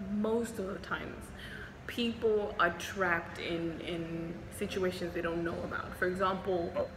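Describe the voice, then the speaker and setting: A young woman doctor from Zimbabwe, a Shona speaker, talks through viewer questions in a relaxed, candid way with plenty of ums. Her voice sits low, and she records in an echoey hospital room with steady noise behind her. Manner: chatty and candid, frequent ums. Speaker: doctor and vlogger. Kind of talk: casual Q&A vlog. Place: hospital, indoors.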